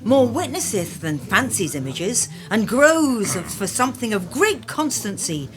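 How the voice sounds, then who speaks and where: An actress playing a gentle noble bride in a Shakespearean radio play, speaking in a measured, theatrical way. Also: female voice, fairly high pitch, big pitch swings, a crowd in the background. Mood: thoughtful.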